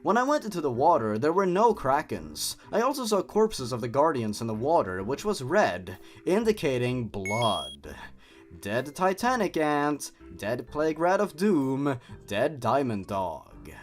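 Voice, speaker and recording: A man's voice: medium pitch, sing-song, a little slow. A man hosting a dramatic reading of a horror story, dropping in sarcastic, exasperated comments of his own. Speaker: host. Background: music.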